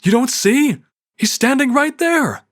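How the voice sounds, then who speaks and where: A man voicing an alarmed, excitable young woman in a fantasy audiobook, urgent and exclamatory. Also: very high-pitched, measured pace, voice pushed up, exaggerated voice.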